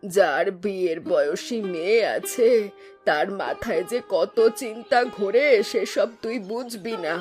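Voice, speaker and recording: A woman plays a middle-aged village mother in a cartoon folk tale. She is firm and complaining in a mid-range voice, with clear plucked-string music behind her. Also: medium pitch, a little slow, lightly acted. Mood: fretful, caring. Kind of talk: animated Bengali folk tale voice acting.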